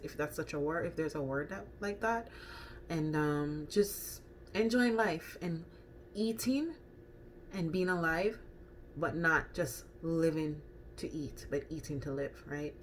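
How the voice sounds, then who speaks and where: A woman with a Jamaican accent talks casually and rather low, rambling along with frequent ums and self-corrections. She speaks to camera like a friendly food vlogger. A faint noise sits under her voice. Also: poor audio.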